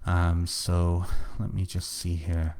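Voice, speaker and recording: Author host, male, low register, faint North Welsh lilt, hesitant casual delivery. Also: level tone, darkish tone. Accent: slight North Welsh. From North Wales. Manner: halting, repeats words, many fillers. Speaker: author hosting a book launch livestream. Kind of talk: casual live stream talk, answering comments.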